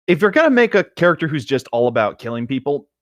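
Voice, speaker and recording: A man reads stories aloud and comments on them casually. His delivery is lively, moving freely in pitch. The sound is dry, with hardly any room to it. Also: fast talker, no room sound. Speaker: co-host reading online stories aloud.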